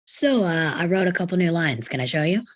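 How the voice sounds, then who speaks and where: A woman reading the part of a bossy, crude young teenage boy in a screenplay table read, with a husky voice and a blunt, pushy manner. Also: lowish voice, expressive, a little fast.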